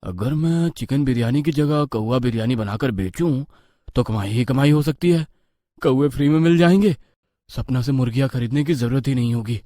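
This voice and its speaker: A man's voice: medium pitch, normal speed, warm tone, no echo, studio quality, natural voice. A man voicing a greedy, scheming street food seller in a cartoon moral story, theatrical and full of exclamations. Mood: gleeful scheming. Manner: exclaiming, theatrical, talks to himself. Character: greedy, scheming male biryani seller, wheedling and defensive. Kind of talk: animated Hindi cartoon moral story acting.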